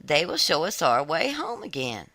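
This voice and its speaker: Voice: medium pitch, wildly swooping pitch, normal speed, gravelly, bright, forceful tone, dry recording, heavily acted. A storybook narrator voicing a young boy, a confident and comforting big brother, earnest and reassuring.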